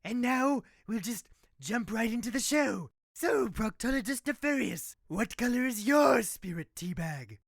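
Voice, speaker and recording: A man's voice: very high voice, expressive, unhurried, gravelly, no room sound, studio quality, heavily acted. A man playing a pompous, fussy talk show host in a comedic audio drama, speaking in a mannered way. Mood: self-important.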